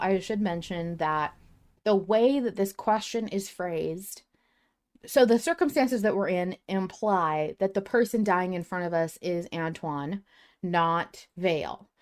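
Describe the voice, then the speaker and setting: Lower-voiced woman chatting on a podcast. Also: unhurried, a little mellow. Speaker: podcast host. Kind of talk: casual podcast discussion of a story.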